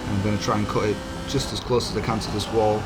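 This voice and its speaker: A man with a hoarse, croaky voice, giving easygoing, chatty commentary over his own racing game footage as a channel host. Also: fairly low pitch, level tone, fast talker. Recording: game engine noise behind. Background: racing car engine sounds.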